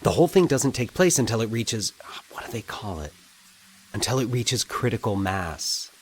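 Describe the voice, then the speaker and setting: A man voicing an anxious, excitable male character thinking aloud in halting, agitated bursts in an audio drama. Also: on the low side, slight background noise. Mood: excited, grappling. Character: Mike, anxious excitable man puzzling out eerie coincidences. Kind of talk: dramatic audio fiction monologue.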